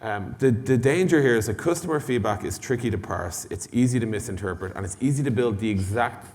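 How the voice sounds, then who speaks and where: A man's voice: mid-range voice, a little fast, a little mellow, slight background noise. A man giving an informal product talk on a conference stage, casual and blunt, as an executive addressing a room. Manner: casual, blunt, mildly sweary, uses acted-out dialogue. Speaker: conference speaker, tech company executive. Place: large indoor conference hall. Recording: long hall reverb from conference stage.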